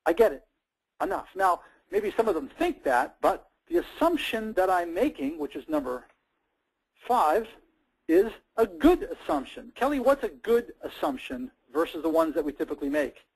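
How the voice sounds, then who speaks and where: A man's voice: high voice, very animated, normal speed, gravelly, breathy edge, poor audio. An American man hosting a sales coaching talk in a conversational, emphatic style, with punchy short sentences. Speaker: host, sales trainer.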